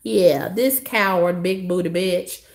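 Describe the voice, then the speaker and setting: A hoarse woman with a cough, telling a story to her viewers in a blunt, profane, dismissive way. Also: lowish voice, slow. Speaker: vlogger.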